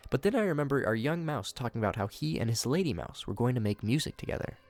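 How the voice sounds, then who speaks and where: A man's voice: medium pitch, sing-song, quick pace, no room sound. A man hosting a video, reading scripted narration mixed with his own commentary. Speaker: video host reviewing horror shorts.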